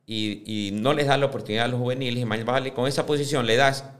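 A man in his fifties answering interview questions on a podcast, speaking in a measured way that often restarts and repeats words as he builds his points. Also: medium pitch, steady tone, fairly bright tone. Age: 50s. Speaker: club presidential candidate.

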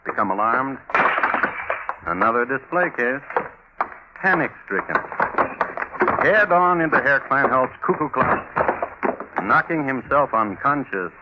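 An actor playing a wry, theatrical narrator of a comic hard-luck tale, speaking in clipped dramatic fragments. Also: male voice, mid-range voice, animated, measured pace, long pauses, heavy background noise, natural voice. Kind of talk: dramatic radio storytelling.

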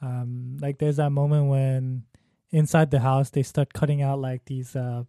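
A man's voice: medium pitch, little pitch movement, measured pace, dark tone, clean tone, no room sound, clean studio sound. A man talking through his thoughts on a film in a casual discussion, thoughtful and hesitant with many fillers.

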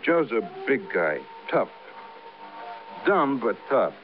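An American man acting a wary, slow-witted dive bartender in a vintage radio drama, speaking in laconic, plodding short phrases. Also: mid-range voice, sing-song. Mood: puzzled, confiding. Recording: muffled vintage radio recording with music.

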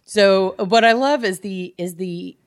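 A woman's voice: medium pitch, slow, clear, resonant tone. A woman co-hosting a book club podcast, casually retelling a plot in a jokey, salty-tongued way. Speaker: podcast co-host.

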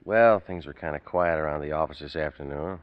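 A man's voice: a bass voice, fairly quick, clear, resonant tone, dry recording. An actor playing a cool, cagey male drifter with a casual, laconic way of talking, in 1940s radio style. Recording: dull vintage radio recording.

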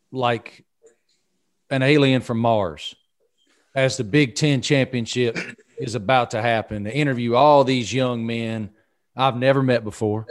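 Folksy man hosting a podcast. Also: medium pitch. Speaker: podcast host. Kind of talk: casual interview.

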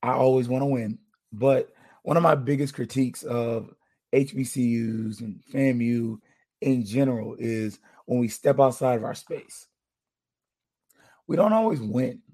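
A man hosts a casual sports podcast with a hoarse voice. His tone is mellow, with a gravelly rasp. He talks in a relaxed, chatty way and gives out shout-outs. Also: medium pitch. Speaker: podcast host.

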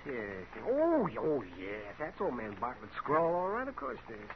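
Genial American frontier doc, highish and very animated male voice, old radio western with crowd bustle. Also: normal speed, lo-fi sound. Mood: curious, assured. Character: genial, excitable small-town frontier doctor, grown man. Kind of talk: 1950s radio western acting.

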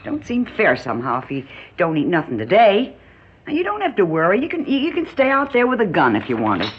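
An actress playing an anxious, kindly rural American wife in a radio drama, pleading and hesitant. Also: female voice, lowish voice, wildly swooping pitch, a little fast, a little hiss or hum. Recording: dull lo-fi radio recording.

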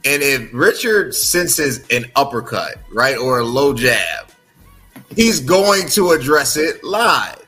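A man's voice: mid-range voice, lively intonation, slow, bright, forceful tone, soft background music. A man hosting a casual sports talk podcast, chatty, often checking in with "right?". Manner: chatty, frequent "right?" tags. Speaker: podcast host.

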